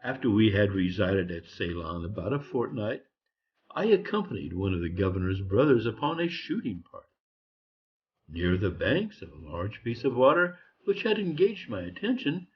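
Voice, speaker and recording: Animated man reading a tale, lo-fi. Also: lowish voice, normal speed, a little hiss or hum. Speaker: audiobook reader. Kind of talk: scripted storybook narration. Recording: dull lo-fi recording with music behind. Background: music.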